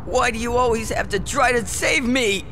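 A man voicing a hot-headed, resentful teenage boy in an anime, aggressive and forceful. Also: very high-pitched, moderate pace, vocal fry, faint music behind, exaggerated voice. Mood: defiant, bitter.

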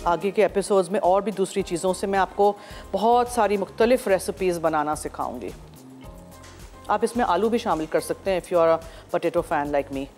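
A woman's voice: on the low side, moderate pace, darkish tone, soft background music. A middle-aged woman with a Pakistani accent hosts a TV cooking show from a studio kitchen, mixing Urdu with English as she cooks. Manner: chatty, switches freely into English.

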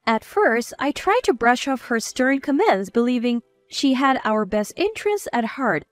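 A woman's voice: fairly high pitch, big pitch swings, measured pace, dry recording, faint music behind. A woman reading a scripted first-person story as its narrator.

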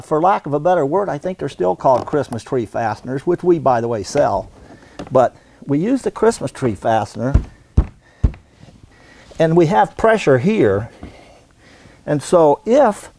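An American man in his sixties giving an unscripted instructional demonstration in a halting, folksy way. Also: on the high side, very animated, vocal fry, warm tone, slight background noise. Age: 60s. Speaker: radon supply business owner and instructor. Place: indoor warehouse.

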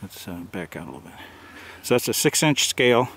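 A man's voice: medium pitch, big pitch swings, brisk, a bit bright. A man narrating casually while filming on a hike in an open grassy field, with the camera's own mic. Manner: chatty, running commentary. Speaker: hiker filming a Bigfoot search. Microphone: handheld camera mic.

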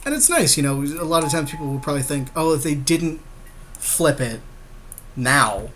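Higher-voiced man chatting over background noise. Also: expressive, normal speed, fairly bright tone. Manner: agreeable, chatty. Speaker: podcast co-host advising on a card game. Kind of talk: casual chat.

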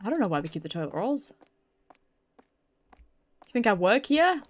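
High-voiced British woman streaming a game. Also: very animated, normal speed. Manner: chatty, giggly reactions. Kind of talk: casual video game livestream commentary, reading game dialogue aloud.

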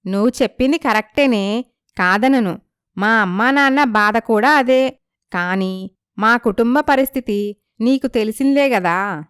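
A woman playing a proud, assertive young woman from a poor family in an animated moral story. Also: on the high side, unhurried, pauses often, smooth, no echo, clean studio sound. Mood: resigned.

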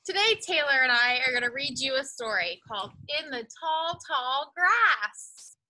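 Bright, high-voiced woman reading to young children. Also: lively intonation, rough recording. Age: young adult. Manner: sing-song, deliberately enunciated, emphatic on rhyming words. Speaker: storyteller reading a picture book to children. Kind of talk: read-aloud for young children. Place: outdoors in a leafy backyard.

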